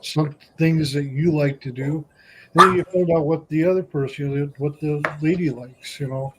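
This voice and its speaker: A man's voice: fairly high pitch, moderate pace, heavy background noise. A man leading a casual group chat, asking questions and giving encouraging, fatherly advice.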